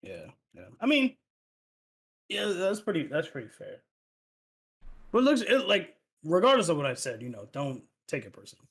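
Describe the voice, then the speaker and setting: Lively, loose-talking man hosting a podcast. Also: a little high, normal speed, long pauses, breathy edge. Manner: loose and profane, lots of fillers and restarts. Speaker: podcast host. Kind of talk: casual gaming podcast chat.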